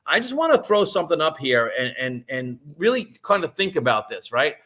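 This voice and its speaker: A man talks loosely to a live audience, stumbling through restarts and tossing in "right?" every few lines. His voice sits slightly high for a man and rings bright and forceful. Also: sing-song, brisk. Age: 50s. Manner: conversational, rambling with frequent restarts and "right?" checks. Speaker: online business presenter. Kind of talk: live-streamed sales pitch.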